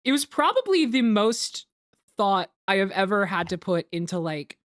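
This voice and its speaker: A woman hosts a casual group commentary in a dry, close-sounding space. Her pitch is average for a woman, and she rambles loosely, peppering her explanations with "like" and the odd swear. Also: sing-song, moderate pace, no room sound. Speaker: host of a group commentary on a fan dub. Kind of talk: casual chat, explaining production process.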